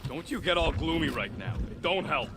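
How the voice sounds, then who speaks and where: Irritable, high-pitched little creature mercenary. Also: male voice, lively intonation, a little fast. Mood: grumbling. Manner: complaining, blunt. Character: gruff, grumbling small creature mercenary, irritable and blunt. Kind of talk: video game dialogue. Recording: loud game ambience and engine noise.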